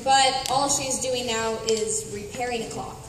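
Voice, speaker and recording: Actress as incredulous challenging heroine, comic radio drama, high pitch, distant echoey hall, clear noise. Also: female voice, steady tone, a little slow, lightly acted. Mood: skeptical.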